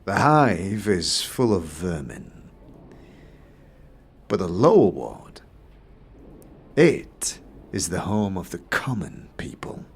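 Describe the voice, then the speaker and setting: Casting: male actor as smug, calculating fantasy-game moneylender; low register, sneering condescension, lines drawn out with relish. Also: breathy edge, full character voice. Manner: condescending, drawn-out, measured delivery. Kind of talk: fantasy game audio series acting.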